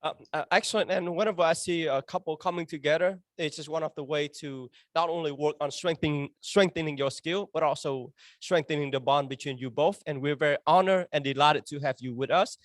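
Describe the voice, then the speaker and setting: A man's voice: on the high side, fairly quick, hardly any pauses, dry recording, studio quality. A man acting as a club officer who introduces guests in a formal manner, with frequent filler sounds. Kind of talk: meeting introductions.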